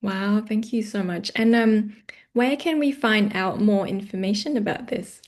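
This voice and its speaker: A woman's voice: medium pitch, measured pace. A woman hosting an interview in a conversational style, asking questions and addressing viewers. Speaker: interviewer, host.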